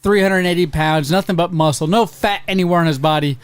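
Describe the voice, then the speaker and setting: A man in his thirties with an American accent, a podcast host telling a profane, animated story in casual chat. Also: on the high side, brisk, clean tone, no room sound. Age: 30s. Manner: profane, animated storyteller who acts out quoted voices.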